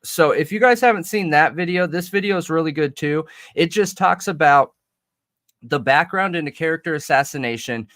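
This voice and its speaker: A man's voice: on the high side, animated, moderate pace, fairly bright tone. A man co-hosting a livestreamed podcast, chatting casually and rambling with restarts into a desk mic that has a slight metallic ring. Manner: rambling, restarts mid-thought. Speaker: podcast co-host.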